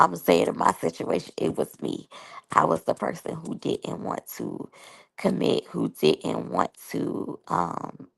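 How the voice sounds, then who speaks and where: Gravelly, slow-talking woman in her thirties. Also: lowish voice, low-quality recording. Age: 30s. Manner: candid, chatty, talks straight to viewers. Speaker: YouTube reality TV reviewer. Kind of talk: casual review and personal storytelling.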